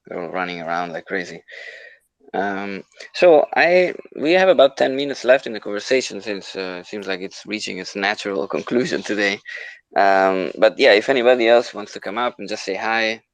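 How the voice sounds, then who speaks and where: A man's voice: fairly low pitch, poor audio. A man hosting a casual online discussion in an easygoing, agreeable way. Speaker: host of an online audio discussion. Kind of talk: casual moderating chat.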